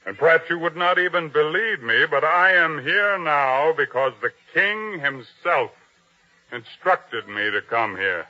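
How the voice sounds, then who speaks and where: Cocky bossy king's captain, old time radio drama, hard bright voice, muffled lo-fi sound. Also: a little high, animated, unhurried, exaggerated voice. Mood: smug, taunting. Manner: commanding, taunting, self-important. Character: proud, domineering male captain of King Herod's guard.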